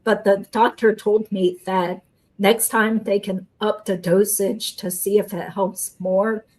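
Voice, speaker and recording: A woman's voice: mid-range voice, flat delivery, takes it slowly, darkish tone, poor audio. A woman host, a lawyer, chatting casually in an upbeat way and explaining court matters. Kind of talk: casual chat and legal commentary.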